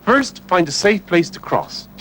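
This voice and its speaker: An actor playing a brisk superhero who teaches children road safety in a 1970s TV film. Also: male voice, sits high, sing-song, fairly bright tone, exaggerated voice. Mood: instructive. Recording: old television film soundtrack with music.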